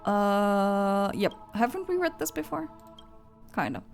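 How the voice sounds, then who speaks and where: Excitable young woman streamer, lively pitch. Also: slow, vocal fry. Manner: excitable, gasps and squeals, then drops to flat rambling. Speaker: streamer reacting to a game story. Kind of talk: casual live reaction commentary. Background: game music.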